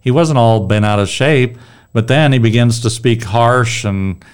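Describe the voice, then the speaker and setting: A man's voice: mid-range voice, a little slow, clean tone. A middle-aged man with a strong voice leading a casual Bible discussion, rambling and restarting his sentences. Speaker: Bible study leader.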